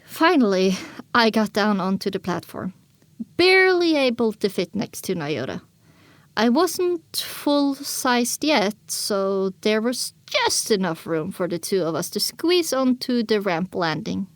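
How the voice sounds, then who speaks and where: A woman playing a young pony mare who tells her own story in earnest, measured audiobook narration. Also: medium pitch, sing-song, no echo. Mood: attentive, measured. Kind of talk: scripted first-person audiobook narration.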